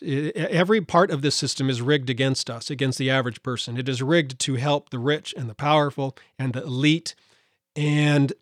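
A man's voice: medium pitch, dry recording, clean studio sound. A man hosting a podcast, talking indignantly with many fillers and restarts, his voice slightly worn. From United States. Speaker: podcast host. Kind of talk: solo podcast commentary.

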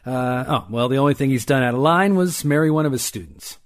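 A man's voice: mid-range voice, animated, fairly quick, close to an everyday voice. A man playing a blunt, casual private investigator reporting to a client in an audio drama. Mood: blunt, flip. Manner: flip, matter-of-fact.

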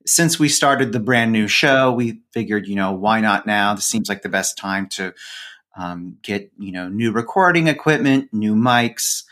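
A man's voice: on the low side, unhurried, fairly bright tone. A man speaking as a podcast guest in a casual conversation, telling stories in a chatty, self-deprecating way.

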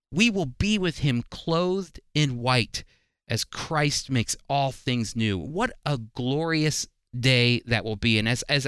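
A man's voice: mid-range voice, fairly bright tone, clear, resonant tone, dry recording, clean studio sound. A man teaching the Bible in a sermon style, emphatic and putting rhetorical questions to his listeners. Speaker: Bible teacher and program host.

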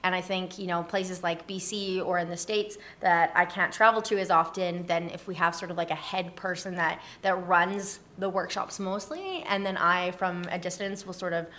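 A woman's voice: lowish voice, moderate pace, hardly any pauses, fairly bright tone. A woman talking casually as an interview guest, explaining things in a chatty way. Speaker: interview guest, community organizer.

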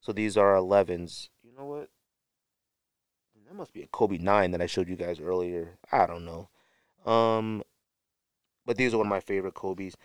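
A man's voice: low-pitched, moderate pace, long pauses, smooth, dry recording. A man hosting a sneaker podcast, talking casually and unscripted to the camera, rambling a little and correcting himself as he shows things. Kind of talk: casual show-and-tell talk to camera.

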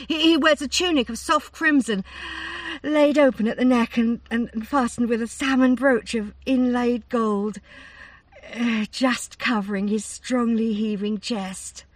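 A woman's voice: unhurried, dry recording, distant mic. A woman doing a breathless, gushing young princess. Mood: awestruck. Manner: stammering, gushing, high and excited.

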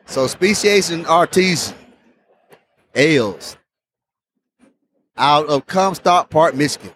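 A man's voice: fairly high pitch, animated, slow pace, a bit bright, husky, no room sound. A man hosting a casual beer tasting vlog, enthusiastic and repeating his phrases. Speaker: host.

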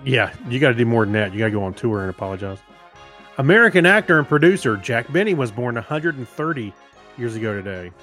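A man's voice: mid-range voice, sing-song, quick pace, a bit bright, soft background music. A man co-hosting a podcast, reading out items and chatting casually. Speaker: podcast co-host. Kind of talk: casual announcing and banter.

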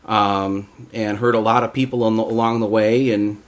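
A man's voice: lowish voice, little pitch movement, normal speed. A man talking casually as a voice actor guest in a round-table chat, informal and rambling, padding his thoughts with "you know".

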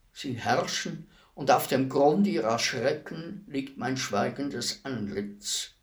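An actor playing a despised, philosophical executioner in a radio play, speaking in a solemn, deliberate, measured monologue. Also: male voice, medium pitch. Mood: quiet, grave. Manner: measured, grave, deliberate declamation. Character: despised executioner, calm, philosophical killer addressing his victim.

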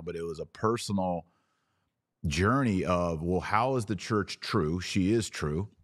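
An American man hosting a podcast, talking candidly and conversationally with emphatic lists and repetitions. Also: sits low. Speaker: podcast host.

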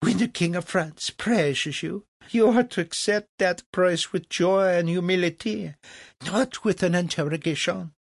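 A man voicing a quick-tempered French king with a shrill, imperious manner. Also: vocal fry, no echo, studio quality, heavily acted. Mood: amused threatening.

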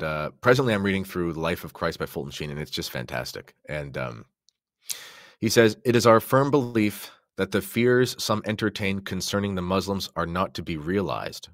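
A man's voice: low-pitched, no echo, studio quality. A man talking conversationally on a podcast, commenting and reading quotations aloud. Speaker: podcast guest or co-host.

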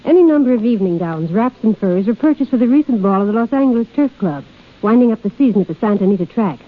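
Higher-pitched woman on vintage radio. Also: lively intonation, fast talker, phone-quality sound. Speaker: shop hostess, guest giving a product endorsement. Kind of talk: scripted 1930s radio talk. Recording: band-limited 1930s radio recording.

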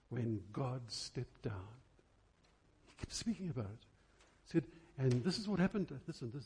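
A man preaching a church sermon in an emphatic, dramatic way, repeating phrases deliberately for effect. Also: mid-range voice, mellow, husky.

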